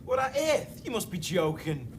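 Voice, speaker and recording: A man's voice: high voice, big pitch swings, normal speed, music in the background. A man playing a sceptical, baffled shipmate in a space sitcom, sounding incredulous. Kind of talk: sitcom acting. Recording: very noisy background.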